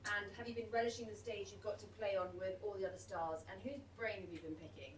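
A woman's voice: mid-range voice, fast talker, recorded from a distance, poor audio. A woman moderator puts questions to the players in a friendly, chatty manner. She sounds set back from the microphone, with a faint hum of room noise behind her. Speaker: press conference moderator. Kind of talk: asking interview questions.

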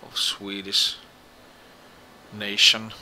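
A young man from Finland with a Finnish accent, speaking English as a second language, gives halting let's play commentary, searching for words. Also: fairly low pitch, monotone, bright, forceful tone, poor audio. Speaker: gamer. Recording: home mic with game noise behind.

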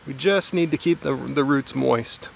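A man in his thirties talks to a handheld camera in his backyard garden, chatty and a little worried as he thinks aloud. His voice sits at an ordinary pitch with a gravelly edge. A faint outdoor hum with distant traffic runs underneath. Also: unhurried. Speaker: home gardener vlogger. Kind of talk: casual garden vlog. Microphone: camera held at arm's length, outdoors.

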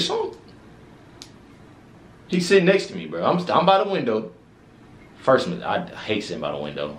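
A man's voice: low voice, roomy sound, a little hiss or hum. A young man hosting a reaction video, chatting casually in a slangy, laid-back way with lots of fillers. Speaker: reaction channel host. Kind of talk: casual reaction vlog chat. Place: small indoor room with records on the wall.

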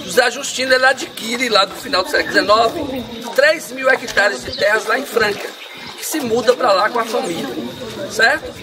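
A man's voice: very high voice, normal speed, crowd noise. A man with a Brazilian Portuguese accent giving a history talk to a group in a town square, recorded from a distance by the camera. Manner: lecturing, steady explanatory delivery with fillers. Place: sunny town square outdoors. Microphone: far camera. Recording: distant outdoor voice with background noise.

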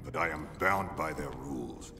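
A cavernous, gravelly warlord. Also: male voice, normal speed. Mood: bitter. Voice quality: deep, gravelly. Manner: imperious, menacing. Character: towering, brutal warlord of another realm, commanding and wrathful. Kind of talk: video game cutscene acting. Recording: long reverb, loud music behind.

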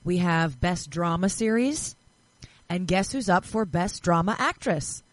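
A woman's voice: lowish voice, animated, unhurried, no echo. A woman presenting a casual news segment on a podcast panel, chatting among friends.

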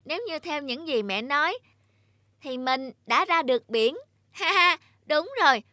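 A woman's voice: high-pitched, expressive, measured pace, sharp tone, no echo, studio quality, voice pushed up, exaggerated voice. A woman voicing a small, brave, anxious young raindrop boy in a cartoon, earnest and exclamatory. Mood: delighted.

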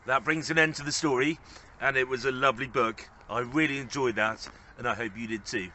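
A man in his thirties narrating a children's story expressively, with measured, gently dramatic phrasing. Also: mid-range voice. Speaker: storybook reader.

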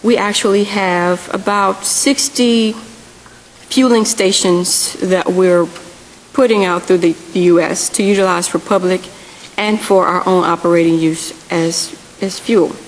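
A woman's voice: fairly low pitch, fairly even intonation, unhurried. A woman in her thirties presenting on a policy panel into a table microphone in a large hearing room, earnest and correcting herself as she goes. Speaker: panel speaker. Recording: long hall reverb, faint hiss.

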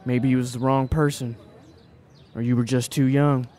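A man's voice: mid-range voice, level tone, moderate pace, warm tone, lightly acted. An actor playing an eager, restless young small-town man of about twenty in an audio play, folksy and blunt. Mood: searching, eager. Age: young adult. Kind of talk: audio play acting before a live audience.

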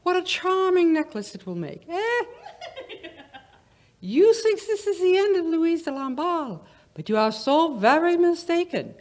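High, swooping witch. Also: sing-song, unhurried, darkish tone, heavily acted. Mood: mocking, menacing. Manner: theatrical, taunting. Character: vengeful condemned French witch, defiant and mocking. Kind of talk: old-time radio acting. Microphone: stage microphone.

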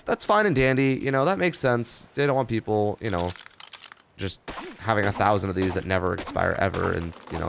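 Young man, hoarse and tired, gravelly, lowish voice, casual rambling gameplay commentary. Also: slight background noise. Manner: rambling, offhand, reading patch notes aloud with asides. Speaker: gaming video host.

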